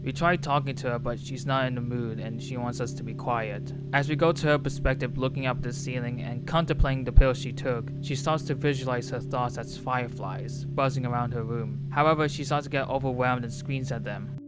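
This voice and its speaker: A man's voice: mid-range voice, brisk, hardly any pauses, rough recording. A man reading a scripted video essay about a game in an earnest, enthusiastic way. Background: music playing under the voice.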